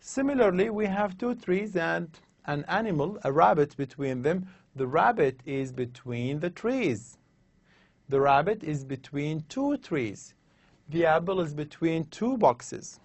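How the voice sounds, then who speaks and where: A man lecturing on English grammar over slides, explaining slowly and repeating his examples. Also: on the high side, lively intonation, dry recording.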